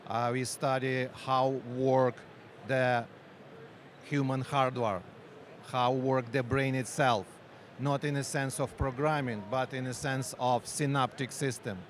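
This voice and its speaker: Ukrainian guy explaining his startup, slow halting english, lots of uhs, faint traffic behind. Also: mid-range voice, fairly even intonation. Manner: halting non-native English, frequent pauses and fillers. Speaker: company founder and researcher. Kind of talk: conference interview. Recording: faint street and vehicle noise.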